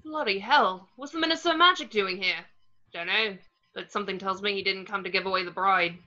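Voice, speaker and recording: A woman's voice: medium pitch, brisk, bright, forceful tone, close to an everyday voice. A woman reading the part of a loyal, blunt British teenage boy wizard at a table read on a video call. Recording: muffled lo-fi video call audio.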